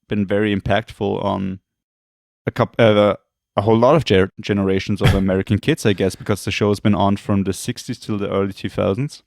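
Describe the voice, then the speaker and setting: A slightly hoarse man co-hosting a podcast, talking in a rambling, hesitant way and often correcting himself. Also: on the low side, darkish tone, dry recording, studio quality. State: losing his voice, throat clearing. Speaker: podcast co-host. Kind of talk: casual chat.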